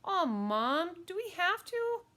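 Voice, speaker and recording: A woman's voice: lowish voice, big pitch swings, measured pace, fairly bright tone. A woman voicing an exasperated, put-upon schoolboy in a children's audiobook, indignant and a little whiny.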